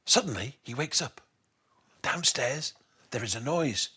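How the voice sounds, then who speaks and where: A man reading a children's bedtime story in an expressive, measured way. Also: mid-range voice, slightly rough.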